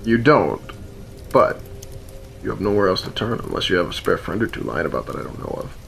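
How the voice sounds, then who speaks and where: A man's voice: sits low, sing-song, fairly quick, gravelly, a bit bright, exaggerated voice. Man playing a sardonic fantasy rebel, music behind. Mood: wry, guarded. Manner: sardonic, teasing, matter-of-fact. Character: wry, world-weary fantasy rebel man, gruffly protective. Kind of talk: fantasy radio theater acting. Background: music under the voice.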